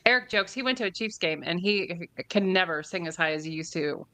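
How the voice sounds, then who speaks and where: A woman's voice: lowish voice, animated, brisk, pushed and bright. A woman hosting a casual interview podcast, upbeat and giggly, encouraging her guests. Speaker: podcast host.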